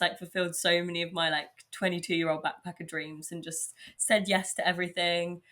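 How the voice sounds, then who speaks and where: Chatty young English woman, slightly husky. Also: fairly low pitch, bright, forceful tone. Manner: upbeat, chatty, lots of likes and you knows. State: slight sore throat, a bit husky. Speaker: podcast host. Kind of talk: casual solo podcast chat.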